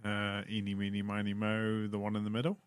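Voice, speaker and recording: A man's voice: lowish voice, monotone, brisk, very noisy. A man chatting casually as a player in a tabletop role-playing game.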